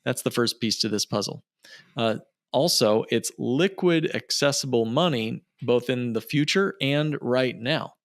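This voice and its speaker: A man explains things in a conversational, persuasive way as an expert podcast guest. His pitch is middling and he leans on repetition for emphasis. The sound is very dry, with little room in it. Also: measured pace, no room sound. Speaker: financial planner, podcast guest.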